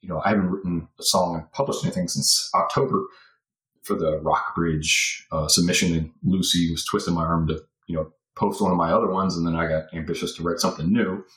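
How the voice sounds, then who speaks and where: A man with a low voice talks through a podcast interview in loose, rambling fashion, full of fillers and restarts. The sound carries a noticeable echo from the room. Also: steady tone, fairly quick, a bit bright. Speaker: podcast guest. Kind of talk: casual interview talk.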